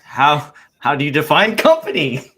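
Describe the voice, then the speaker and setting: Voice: mid-range voice, very animated, moderate pace, a bit bright, rough recording. Hedging businessman witness, acted by a reader, noncommittal and evasive in a deposition reenactment. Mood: evasive, stubborn. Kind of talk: read-aloud deposition transcript.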